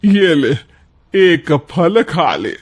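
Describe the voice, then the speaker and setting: Man playing a proud elderly Indian mother-in-law, slow-spoken. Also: a little high, sing-song, husky, exaggerated voice. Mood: indignant, defensive.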